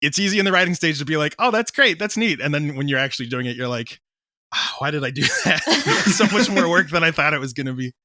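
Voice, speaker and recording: A man's voice: fairly high pitch, very animated, quick pace, sharp tone, dry recording, clean studio sound. A man chatting casually as an interview guest, telling stories in a self-deprecating way with quoted asides. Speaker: audio drama creator, interview guest.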